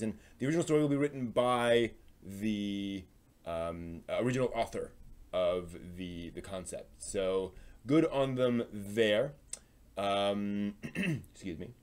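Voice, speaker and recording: A man with a fairly low voice presents news as the host of a live stream. His delivery is informal and a little halting, with phrases that tend to trail off as he comments on each item. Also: darkish tone.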